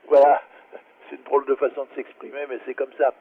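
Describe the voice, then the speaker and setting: A man's voice: mid-range voice, monotone, moderate pace, slightly rough, no room sound. A man chatting casually, a little hesitant and self-deprecating, as an amateur operator over a radio link. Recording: phone-like radio link, distant from mic.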